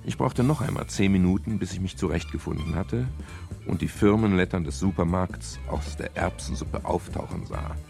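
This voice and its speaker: An actor playing a pensive, matter-of-fact private detective in a German radio crime drama, speaking in a measured, sober way. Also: male voice, low voice, animated, vocal fry, a little mellow, faint music behind. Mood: sober, tense. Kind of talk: scripted first-person radio crime narration.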